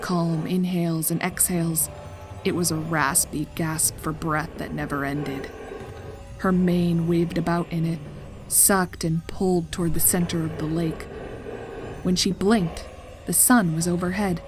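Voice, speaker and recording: A woman reads scripted horror fiction as narrator. Her voice sits fairly low, and soft music plays behind the narration.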